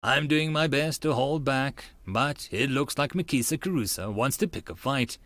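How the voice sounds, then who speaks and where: A man's voice: medium pitch, lively intonation, moderate pace, a bit bright, dry recording. A man reading a story as an audiobook narrator, in a theatrical first-person style. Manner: theatrical, acts out dialogue and asides. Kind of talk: dramatized first-person narration of a visual novel.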